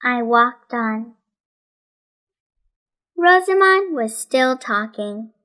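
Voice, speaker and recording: A woman reading a children's storybook aloud as scripted narration, in a measured, deliberate way. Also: fairly high pitch, lively intonation, fairly bright tone. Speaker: children's audiobook reader.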